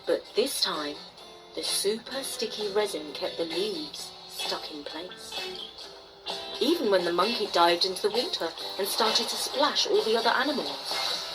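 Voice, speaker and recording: A woman storyteller narrating a bedtime tale expressively, with dramatic pauses and emphasis. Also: mid-range voice, poor audio. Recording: faint noise behind the voice.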